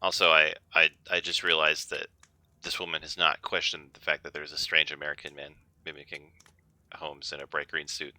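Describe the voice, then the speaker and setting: A man's voice: very deep voice, little pitch movement, moderate pace, pushed and bright, no room sound. A male streamer giving casual, joking let's-play commentary, chatty and rambling.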